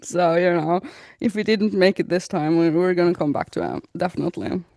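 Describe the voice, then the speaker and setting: A woman's voice: fairly low pitch, fairly quick, creaky, a little mellow, no room sound. A woman in her thirties co-hosting a podcast, chatting casually and reading out listener comments with frequent laughter. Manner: giggly, reads comments aloud with frequent laughter. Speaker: podcast co-host.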